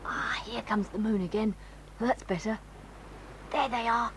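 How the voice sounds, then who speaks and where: A woman voicing a brave, sensible teenage boy in an audio drama, talking in an urgent hushed whisper. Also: a little high, steady tone, a little slow, slight background noise, lightly acted, poor audio. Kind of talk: 1980s radio-style audio drama.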